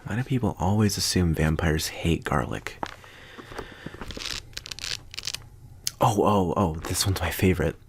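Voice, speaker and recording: A man playing a smug, amused vampire teacher in an ASMR roleplay, condescending and teasing, with unhurried, deliberate pauses. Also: low-pitched, background noise. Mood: mocking, amused.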